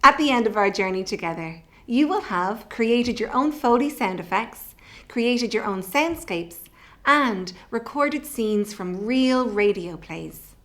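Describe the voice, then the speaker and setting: A middle-aged woman presenting a children's workshop in a clear, deliberate, expressive way. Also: fairly high pitch. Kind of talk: scripted lesson presentation for children. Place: small indoor room. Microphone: microphone on the desk close by.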